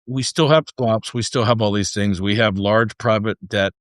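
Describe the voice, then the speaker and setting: A man's voice: fairly low pitch, steady tone, moderate pace, dry recording, clean studio sound. A man hosting a conversational interview, informal and thinking aloud as he builds his questions. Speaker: podcast host, interviewer.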